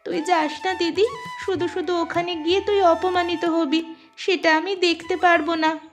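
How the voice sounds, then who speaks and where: A woman's voice: extremely high pitch, little pitch movement, moderate pace, soft background music. A woman voicing a sick, frail younger sister in a cartoon, pleading and tearful. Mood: worried. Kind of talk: cartoon voice acting.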